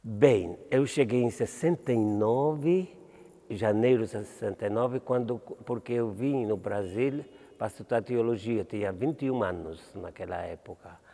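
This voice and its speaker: Older Maltese priest, low dark voice. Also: male voice, long reverb tail. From Malta. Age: 70s. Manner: halting, with restarts and "entende?". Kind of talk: interview answers.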